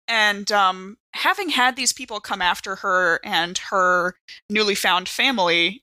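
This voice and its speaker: A woman playing a tabletop roleplaying game, talking casually and haltingly, with many filler words. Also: mid-range voice, pushed and bright, no echo, rough recording. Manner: halting, peppered with "like". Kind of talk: casual, conversational game chat.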